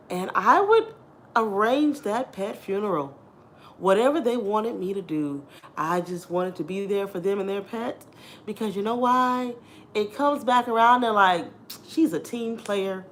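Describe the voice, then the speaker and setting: A woman in her thirties with a deep, husky voice, talking candidly to her viewers in a casual chat and laughing often. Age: 30s. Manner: chatty and candid, laughs often, addresses viewers as "girl" and "y'all". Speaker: vlogger.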